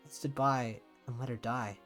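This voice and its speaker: A man's voice: mid-range voice, steady tone, normal speed, a bit bright, faint music behind, natural voice. A man voicing a guilt-ridden, despairing young man who narrates his own visual novel story, somber and introspective. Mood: grim, numb.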